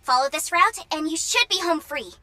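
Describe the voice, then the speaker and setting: A woman voicing an alert female guide in an anime game, brisk and instructive. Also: extremely high pitch, expressive, bright, forceful tone, exaggerated voice. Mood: brisk, focused.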